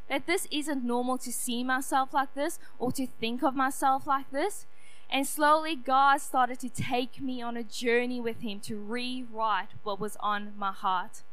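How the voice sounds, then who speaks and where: A young woman from South Africa with a loud, booming voice, giving an earnest personal testimony at a church youth night. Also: poor audio. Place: church hall.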